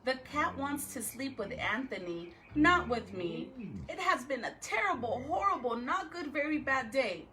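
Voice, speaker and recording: A woman teacher reading a picture book aloud to children in an expressive, deliberate way, with chatty asides to her listeners. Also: high voice, slight background noise. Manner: animated, deliberate, dramatic phrasing with drawn-out words.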